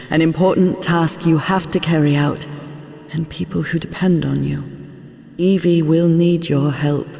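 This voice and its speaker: A serene woman spirit in a cinematic game. Her voice has a marked gravelly creak and a long reverb over music. She speaks slowly and intimately, with tender reassurance. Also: low-pitched. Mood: tender, reassuring. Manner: intimate, gently reassuring, measured. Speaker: character and narrator.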